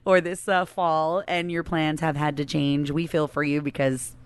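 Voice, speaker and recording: A woman in her late thirties with a low-pitched voice, co-hosting a casual podcast. She talks in a chatty, informal way and laughs easily. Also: level tone, normal speed, clear, resonant tone, no echo. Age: late 30s. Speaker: podcast co-host. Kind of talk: casual banter with spouse.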